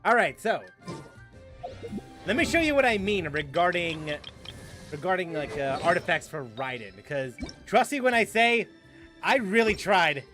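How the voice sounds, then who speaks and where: Lively guy streaming a game, talks over game music, keeps laughing. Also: high-pitched, measured pace, pauses often. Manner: rambling, laughs often, restarts sentences. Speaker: gaming streamer. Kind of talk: casual live-stream commentary.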